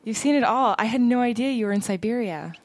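A woman's voice: fairly high pitch, fast talker, dry recording. A woman hosting a podcast, asking curious questions and laughing often in casual chat. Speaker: podcast host.

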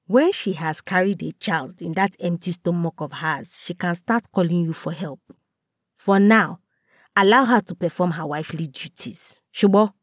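A woman voicing a domineering older mother-in-law, loud, scornful and commanding. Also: on the low side, moderate pace, dry recording, clean studio sound, lightly acted.

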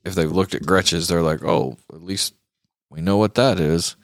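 Male talk show host, low-pitched, dry sound, informal and slangy guitar chat with emphasis. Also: moderate pace, dry recording.

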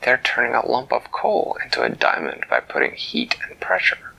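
A man's voice: medium pitch, huge pitch swings, normal speed, vocal fry, sharp tone, in a whisper, full character voice, poor audio. A man voicing a matter-of-fact young girl. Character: polite young girl answering her friend.

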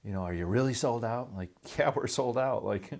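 A man's voice: mid-range voice, fairly quick, gravelly, a little mellow. A man hosting a podcast, promoting industry events in a casual, enthusiastic and chatty way.

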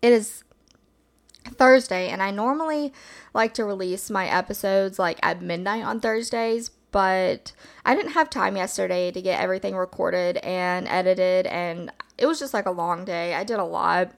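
A woman hosting a solo podcast in a casual, reflective, rambling way, with a slightly hoarse just-woke-up voice. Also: mid-range voice, normal speed. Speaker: podcast host.

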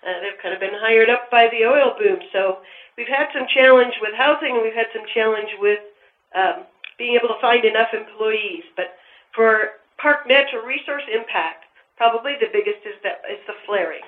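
A woman's voice: on the high side, normal speed. Woman on an echoey phone line. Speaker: park deputy superintendent, interview guest. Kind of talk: interview answers, explanatory.

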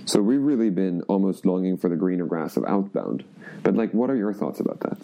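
A man interviewing a guest, asking questions in a relaxed, plain way. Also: low voice, fairly quick, gravelly, rough recording.

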